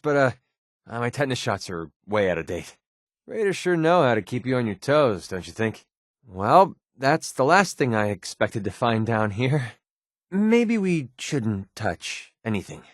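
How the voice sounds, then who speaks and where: A cynical young American gun-for-hire from a post-apocalyptic video game. His mid-pitched male voice swings freely up and down, recorded dry and close. He talks in a casual, streetwise way, heavy on sarcasm and wisecracks. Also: no echo. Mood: wry, uneasy. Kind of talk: video game companion dialogue.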